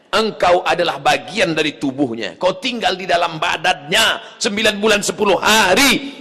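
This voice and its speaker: A male preacher giving a mosque sermon, swinging from quiet asides to loud shouted appeals. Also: high voice, gravelly, in a large hall.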